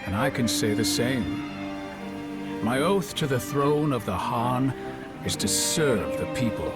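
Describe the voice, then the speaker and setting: An actor playing a shrewd, courteous middle-aged warlord of ancient China in a video game cutscene, speaking in a measured, genial, probing way. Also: male voice, medium pitch, lively intonation. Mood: musing, amiable. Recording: loud music behind the voice.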